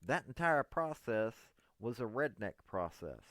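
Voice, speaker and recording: A middle-pitched man in his fifties with an East Tennessee drawl, speaking in a folksy, rambling but earnest way. His voice sits close to the mic with a dry, dead sound and no room echo. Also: sing-song, measured pace, fairly bright tone. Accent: Southern US, East Tennessee. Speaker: political commentator. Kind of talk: direct-address monologue to a politician.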